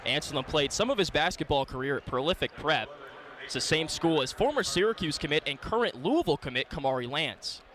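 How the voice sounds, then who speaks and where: A man's voice: fairly high pitch, expressive, brisk. A man calling a basketball game live on radio as play-by-play announcer, in clipped, descriptive phrases. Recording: loud arena noise behind the voice. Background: gym ambience, bouncing basketballs.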